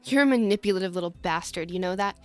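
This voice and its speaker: A woman's voice: fairly low pitch, fast, faint music behind, close to an everyday voice. A woman playing a hostile, sarcastic member of a gang in an audio drama, with a biting delivery. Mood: angry, accusing. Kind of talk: scripted audio drama acting.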